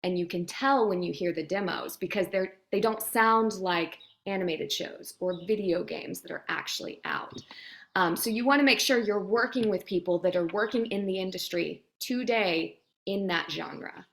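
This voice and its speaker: A woman's voice: medium pitch, moderate pace, gravelly, fairly bright tone. A woman guest speaking as a voice demo producer, giving casual advice in an interview chat and acting out imagined reactions.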